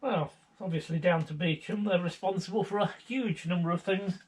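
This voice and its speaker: A man's voice: high voice, brisk. A father answering briefly and bluntly from off camera. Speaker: father of the vlogger. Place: off camera in the same house. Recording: distant, roomy with faint background noise.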